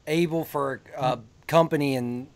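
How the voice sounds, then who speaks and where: A man's voice: fairly high pitch, steady tone, unhurried. Laid-back man from Ohio. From Ohio, USA. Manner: laid-back, lots of "like" fillers, laughs often. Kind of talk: casual podcast chat.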